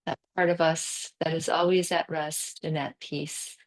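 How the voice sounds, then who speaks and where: A woman teaching guided yoga nidra meditation, speaking calmly and soothingly in short phrases with long pauses between them. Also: deep voice, low-quality recording.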